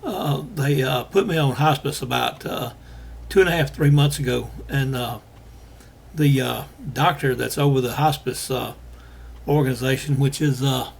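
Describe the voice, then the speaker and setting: An older man from Alabama, hosting a casual monologue to viewers, talking in a halting way with many fillers while he is losing his voice. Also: medium pitch, vocal fry, slightly rough. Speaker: host.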